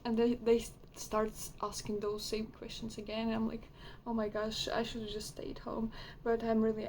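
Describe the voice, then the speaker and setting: A woman's voice: medium pitch, creaky, a little mellow, slight background noise. A young woman from Ukraine with a Ukrainian accent, talking candidly in a rambling personal vlog, full of hesitations. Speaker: vlogger. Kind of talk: casual personal monologue.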